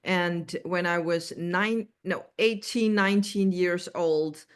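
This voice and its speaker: A middle-aged woman from Denmark with a Danish accent, explaining her work as an interview guest in a measured, halting way. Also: fairly low pitch, clean tone. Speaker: interview guest, animal communicator.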